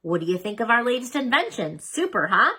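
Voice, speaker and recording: A woman voicing a proud, kindly inventor father who explains gently in a children's storybook. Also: on the high side, sing-song, brisk, husky, voice pushed up, exaggerated voice. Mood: proud, explaining. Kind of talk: children's storybook read-aloud.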